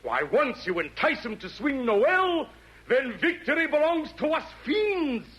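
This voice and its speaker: An actor playing a vain, fiendish Roman emperor and music connoisseur, affected and florid, in a 1940s radio comedy. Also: male voice, extremely high pitch, very animated, a little slow, noisy background, exaggerated voice. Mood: smug, scheming. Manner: affected, florid rhyming delivery. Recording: old 1940s radio broadcast.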